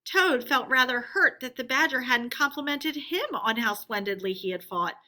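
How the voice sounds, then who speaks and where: A woman reading a children's story aloud as an expressive storyteller. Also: high voice, normal speed.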